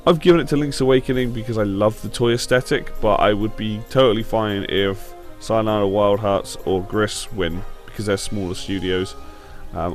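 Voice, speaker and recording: Low, slightly croaky man over music. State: sore throat, slightly croaky. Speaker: gaming show host. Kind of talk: casual opinion commentary on video games.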